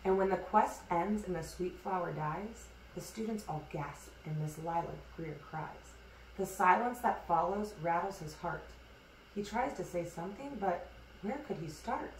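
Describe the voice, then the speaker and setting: A woman reading a rhyming children's picture book aloud in an expressive, measured storytelling way. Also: fairly low pitch. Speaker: storybook reader. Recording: echoey room, distant mic, hissy noise.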